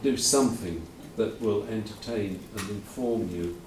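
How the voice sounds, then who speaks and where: A man's voice: lowish voice, a little slow, noisy background. A man hosting a conference, talking informally in a rambling, self-correcting way with dry asides. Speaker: conference host. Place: lecture hall. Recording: roomy hall, voice from a distance.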